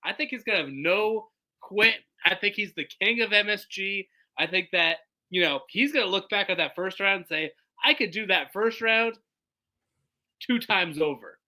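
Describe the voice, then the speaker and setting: Bright, high-voiced emphatic man. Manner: emphatic, repeats himself, swears. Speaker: podcast co-host. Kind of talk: casual betting chat.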